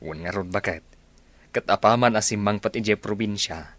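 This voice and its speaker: Man playing a caring village husband in a radio drama, lowish voice. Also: normal speed, a bit bright, no room sound, natural voice. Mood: sympathetic. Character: sympathetic village husband discussing neighbours' troubles. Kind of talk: Filipino radio drama acting.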